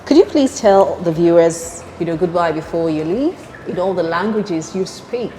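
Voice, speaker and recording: A woman's voice: fairly low pitch, lively intonation, moderate pace, warm tone, slight background noise, low-quality recording. A woman interviewing a guest, asking polite, deferential and formal questions.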